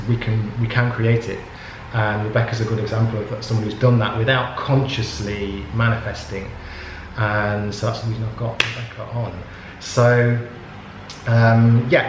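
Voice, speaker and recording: A man hosting a casual interview, talking in a relaxed and rambling way with many fillers. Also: fairly low pitch. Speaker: host. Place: indoors at a yoga retreat. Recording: echoey room with background noise.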